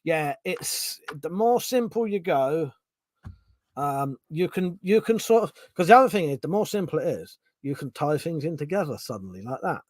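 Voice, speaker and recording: Middle-aged male streamer, casual and sweary, animated pitch, dry close mic. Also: moderate pace, dry recording. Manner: chatty, swears casually, restarts sentences. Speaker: streamer reading chat. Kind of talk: casual live-stream chat.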